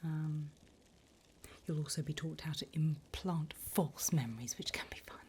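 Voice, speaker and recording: A woman voicing a politely bureaucratic, wickedly encouraging demon recruiter in a breathy, unhurried ASMR whisper. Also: low-pitched, darkish tone, slight background noise, lightly acted. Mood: businesslike, wicked relish. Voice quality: breathy whisper, mostly unvoiced. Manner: unhurried, polite, dryly businesslike about torment.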